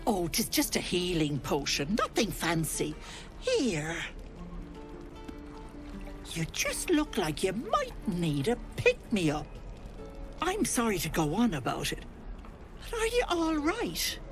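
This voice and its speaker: Lilting, doting old auntie. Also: female voice, medium pitch, wildly swooping pitch, slow pace, long pauses, over music. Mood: coaxing, soothing. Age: older. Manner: folksy, coddling, doting endearments. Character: kindly fussing old village healer auntie.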